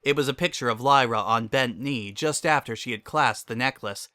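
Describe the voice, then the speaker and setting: Bright-voiced man narrating a story. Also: mid-range voice, normal speed, dry recording, clean studio sound. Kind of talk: scripted dramatic story narration.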